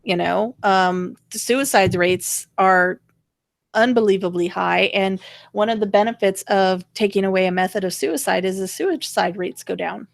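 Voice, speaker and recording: Casting: woman co-host, gravelly fry in the voice, wry and explanatory, recorded close and dry. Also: medium pitch, moderate pace, no echo. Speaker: co-host of political rant show. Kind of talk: casual political commentary.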